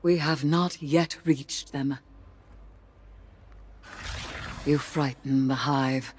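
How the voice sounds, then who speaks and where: A woman playing a grave, haunted mystic of dark magic in a video game, husky and breathy, with solemn, deliberate phrasing. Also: extremely low pitch, fairly even intonation, full character voice. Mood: solemn, reverent. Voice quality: husky, breathy, often near a whisper. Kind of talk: dramatic video game monologue.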